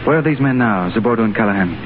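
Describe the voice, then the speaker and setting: An actor playing an idealistic, stubborn and wry English diplomat in a 1930s radio drama. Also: male voice, lowish voice, expressive, rapid-fire, natural voice. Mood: brisk, resolute. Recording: noisy, narrow-band 1930s radio broadcast.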